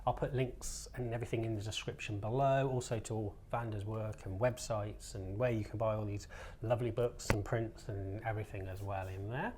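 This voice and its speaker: Chatty interview host, faint noise behind. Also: male voice, lowish voice, steady tone, a little fast, hardly any pauses, darkish tone. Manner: chatty, friendly, rambling, with many small agreeing sounds. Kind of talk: casual interview hosting.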